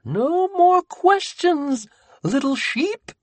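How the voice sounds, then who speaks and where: A man voicing a crazed masked man who rants and giggles in a high, manic voice. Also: very animated, drawn-out words, slight background noise, exaggerated voice. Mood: sing-song. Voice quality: manic, breaking into high shrieks.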